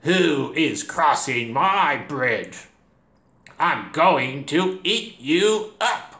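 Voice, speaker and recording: A scary, stinky storybook troll, acted out by a man. The delivery is loud and drawn out, full of menace, in a roomy space. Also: very animated, vocal fry, full character voice. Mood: menacing. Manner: slow, drawn-out, booming delivery.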